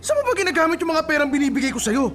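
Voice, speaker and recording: A tired, hot-tempered working husband in a radio drama. His gruff voice rises high and swings widely as he complains, with some music underneath. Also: big pitch swings, very fast, a bit bright, heavily acted. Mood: irritated.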